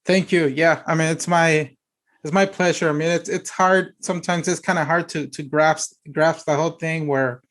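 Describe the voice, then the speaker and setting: A man's voice: on the high side, fairly even intonation, normal speed, a bit bright. A man hosting a podcast, asking questions in a rambling way with many restarts. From United States. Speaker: podcast host, former player agent. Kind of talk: casual interview conversation.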